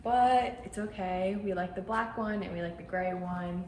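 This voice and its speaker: A woman's voice: medium pitch, normal speed, darkish tone, a little hiss or hum. Young woman chatting casually to a vlog. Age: 20s. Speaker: vlogger and model. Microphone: camera at arm's length.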